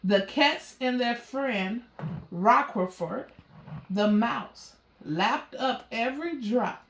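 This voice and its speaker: Female storybook reader, higher-pitched, slow and measured, in an echoey room. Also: a bit bright. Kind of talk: children's story read-aloud. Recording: roomy, echoey sound.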